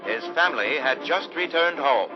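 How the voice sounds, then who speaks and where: An actor playing a solemn American host narrating a 1940s-style radio drama with dramatic, portentous phrasing. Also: male voice, on the high side, lively intonation, normal speed, close to an everyday voice. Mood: suspenseful. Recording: lo-fi old radio sound. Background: dramatic music.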